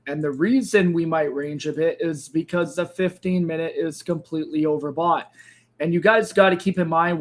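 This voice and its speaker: A man hosting a crypto trading livestream, speaking casually to viewers, hoarse and tired as his voice gives out. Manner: tired, low-energy sign-off with frequent fillers.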